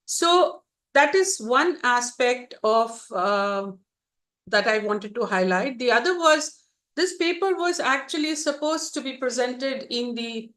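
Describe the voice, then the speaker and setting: A woman chairing a seminar on a video call, introducing the speaker in slow, measured academic phrasing. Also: a little high, lively intonation, a bit bright.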